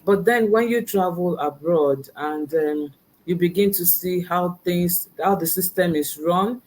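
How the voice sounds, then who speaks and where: A middle-aged woman from Nigeria answering interview questions over a video call, soft-spoken and hesitant with many "you know"s. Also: fairly low pitch, measured pace, low-quality recording. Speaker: guest, educator and business owner.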